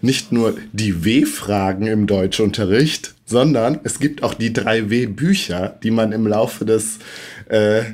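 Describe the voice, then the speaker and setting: A man talks with a cold-roughened, hoarse voice that sits on the lower side. He co-hosts a relaxed podcast conversation. He explains things at length, pausing often to hunt for words. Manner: chatty, explains at length with many hesitations. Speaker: podcast co-host. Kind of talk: casual podcast chat.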